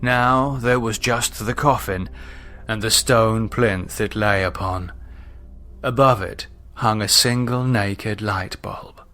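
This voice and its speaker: A man narrating a horror novel he wrote, in a measured, suspenseful, dramatic storytelling manner. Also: lowish voice, steady tone, bright, forceful tone. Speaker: author reading own novel.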